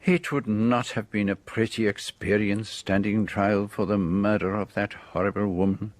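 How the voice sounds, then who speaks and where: Belgian detective, played by a man, French-speaking accent, lowish pitch, measured, exact, radio drama. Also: fairly even intonation, dry recording, lightly acted. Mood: measured, assured. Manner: measured, precise, formal explanation. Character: shrewd, precise, theatrical Belgian detective, middle-aged man.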